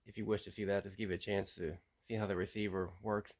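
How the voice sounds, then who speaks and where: A man hosting a casual show-and-tell about radio gear, relaxed and plain-spoken, with frequent pauses and repeated words. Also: lowish voice. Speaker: radio hobbyist host.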